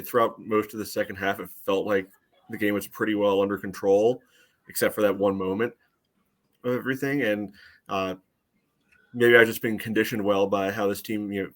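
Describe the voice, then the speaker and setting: A man co-hosting a soccer podcast, giving casual game analysis in a measured, conversational way. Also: fairly low pitch. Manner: measured, frequent "you know" asides. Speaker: soccer fan podcast co-host. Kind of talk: casual sports analysis chat.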